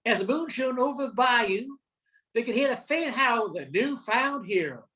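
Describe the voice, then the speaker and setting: A woman's voice: fairly high pitch, expressive, moderate pace, bright, forceful tone, slight background noise. An older woman reading scripted story narration for an amateur radio play.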